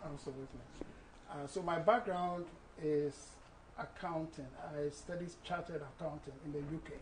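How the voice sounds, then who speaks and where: A Ghanaian man in his sixties, a guest author speaking in a measured, explanatory way in a studio interview on a lapel mic. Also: a little high, steady tone, a little hiss or hum, rough recording. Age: 60s.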